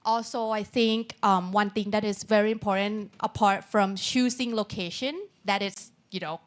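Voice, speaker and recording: A woman answers questions as a panel speaker, with English as her second language. She speaks at a middle pitch, feeling her way through explanations with many pauses for words. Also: steady tone. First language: not English.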